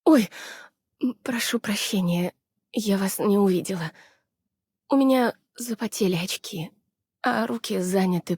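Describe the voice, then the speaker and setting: Weary young female game scientist, anxious, soft breathy husky voice, slightly low, hesitant and subdued delivery. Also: no room sound, clean studio sound, full character voice. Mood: hesitant, apologetic. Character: weary, anxious, withdrawn young woman scientist from the frozen north. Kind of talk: Russian dub of game character voice lines.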